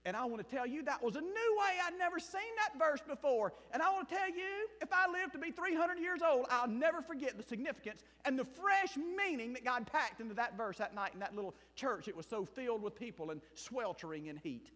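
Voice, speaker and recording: Man, Southern Mississippi accent, very high voice, lively swinging pitch, emphatic preaching, long reverb of a church hall. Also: brisk, hardly any pauses. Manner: emphatic, swings between loud bursts and hushed asides. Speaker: missionary pastor. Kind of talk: sermon with personal testimony.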